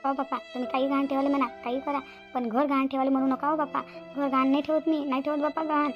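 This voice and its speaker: A woman's voice: high-pitched, flat delivery, fast talker, lo-fi sound, music in the background, close to an everyday voice. A woman voicing a worried, stubborn village mother in a Marathi cartoon, plaintive and repetitive, with a Vidarbha Marathi accent. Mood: upset, pleading.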